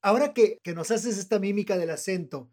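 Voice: mid-range voice, a little fast, a little mellow. An interview host with a northern Mexican accent, chatting casually and enthusiastically.

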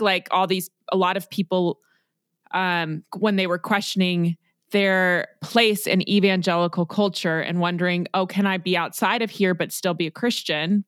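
A woman's voice: on the low side, dry recording, clean studio sound. Chatty woman podcast host. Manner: warm, giggly and chatty. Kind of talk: podcast interview.

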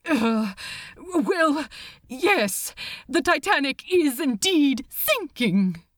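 A woman's voice: medium pitch, sing-song, takes it slowly, no echo. A woman voicing a prim, anxious male angel in an audiobook story, hesitant and placating in manner. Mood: nervous, evasive.

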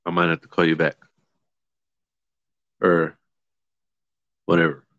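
Deepish guy chatting casually as a co-host, muffled lofi sound. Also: monotone, slow, fairly bright tone, no echo. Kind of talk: casual unscripted chat. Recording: dull lo-fi microphone sound.

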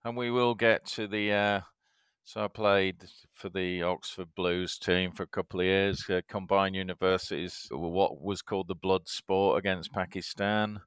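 A man in his fifties from Yorkshire, a guest telling anecdotes in a halting way, with many fillers. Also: low-pitched, steady tone, fairly bright tone, clean tone, dry recording, clean studio sound. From Yorkshire, England. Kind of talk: casual interview chat.

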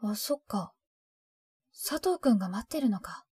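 A woman's voice: a little high, animated, moderate pace, mellow, husky, no room sound. An actress playing an earnest, needy, devoted schoolgirl in a Japanese audio drama, with an emotional, hesitant delivery. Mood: hurt, brooding. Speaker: voice actor.

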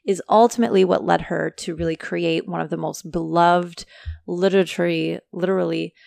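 A woman hosting a casual motivational podcast, talking chattily to her listeners while her voice is going hoarse. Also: lowish voice, steady tone, moderate pace, darkish tone, dry recording. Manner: chatty, motivational, addresses listeners as you guys. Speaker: podcast host. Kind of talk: casual solo podcast talk.

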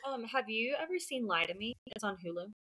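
A woman's voice: on the high side, big pitch swings, a little fast, sharp tone, slightly rough, rough recording. A woman discussing books casually as a co-host, explaining her points in a conversational way. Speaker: book podcast co-host.

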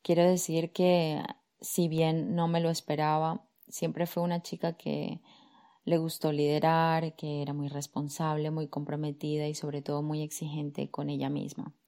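A woman, a career coach calmly telling her own story on a podcast, soft fillers between thoughts. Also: low voice, measured pace. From Colombia. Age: 30s. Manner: calm, reflective, with frequent soft fillers. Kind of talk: personal storytelling monologue.

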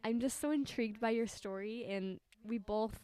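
A woman's voice: a little high, normal speed, mellow, clean tone. A woman hosting a casual interview at a friend's house, bubbly and laughing often as she talks. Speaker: podcast host.

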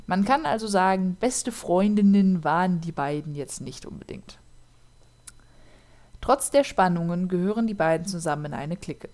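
A woman's voice: on the low side, expressive, moderate pace, darkish tone. Woman podcaster, casual storyteller, filler-heavy. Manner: chatty, laughs often, many fillers. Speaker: podcast co-host telling a true crime case. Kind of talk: casual podcast chat and case narration.

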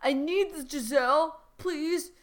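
A woman's voice: high voice, a little slow, voice pushed up. A woman voicing a tearful male football player who whines and begs in a comic story reading. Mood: pleading, tearful. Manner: whining, begging.

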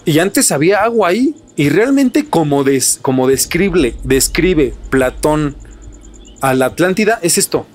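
A man's voice: fairly high pitch, expressive, measured pace. A man with a Mexican accent hosting a podcast in casual chat. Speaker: podcast host.

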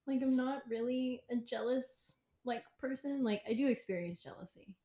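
A woman's voice: fairly high pitch, moderate pace, mellow. A woman co-hosting a podcast, rambling in casual chat with many fillers. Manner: rambling, lots of fillers, laughs along. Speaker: podcast co-host. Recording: echoey room.

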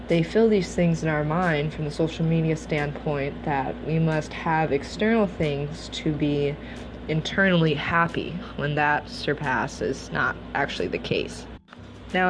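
Young woman, low voice, earnest podcast monologue, noisy background. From Russia. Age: 20s. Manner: earnest, reflective, steady delivery. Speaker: podcast host.

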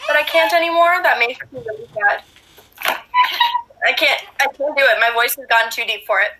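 A young woman chatting with a stranger over video chat, telling stories in an animated way. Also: high voice, a little fast, rough recording. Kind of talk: casual chat.

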